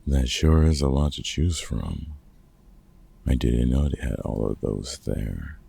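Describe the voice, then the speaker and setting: A man playing a gruff, wry older vampire hunter in an ASMR roleplay, a fatherly mentor with a very gravelly, husky voice and dry, teasing delivery. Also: rumbling low, slow, warm tone, heavily acted. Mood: amused, teasing. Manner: dry, teasing, hesitant with unfamiliar slang. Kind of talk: ASMR roleplay audio drama, one-sided conversation.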